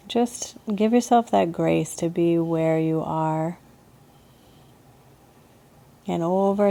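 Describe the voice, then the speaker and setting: Slow, mellow woman guiding a meditation. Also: on the low side. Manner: soothing, gentle, unhurried with pauses. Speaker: meditation and yoga teacher. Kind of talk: guided meditation.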